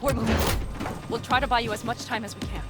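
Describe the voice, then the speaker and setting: A woman's voice: a little high, steady tone, fast talker, lightly acted. A woman voicing a fierce, decisive young leader in a video game, blunt and commanding. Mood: urgent. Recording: loud music and engine noise behind. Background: loud game music, vehicle sounds.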